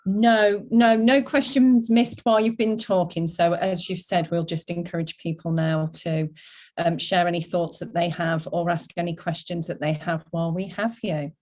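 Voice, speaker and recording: Female webinar host with a lowish voice, fielding and reading out questions. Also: fairly even intonation, normal speed, darkish tone. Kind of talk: moderating audience questions.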